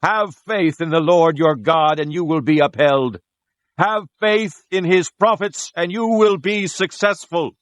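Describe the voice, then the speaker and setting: A man portrays a devout king of Judah in an audio Bible drama. He declaims solemnly and with passion, his voice a little high for a man. The recording is dry, with no room sound. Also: unhurried, no room sound, natural voice. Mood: rousing. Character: devout, impassioned king of Judah praying and exhorting his people.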